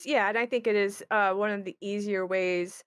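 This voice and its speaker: Clear, smooth-voiced woman in thoughtful conversation. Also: mid-range voice, fairly even intonation, normal speed, no room sound, clean studio sound. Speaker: tabletop game creator. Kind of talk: casual panel chat.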